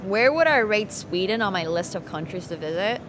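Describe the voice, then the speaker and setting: A woman's voice: mid-range voice, expressive, moderate pace, music in the background. A woman from Finland live streaming casually, thinking aloud in rambling fragments as she plays and chats with viewers. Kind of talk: casual chat while playing poker, answering viewers.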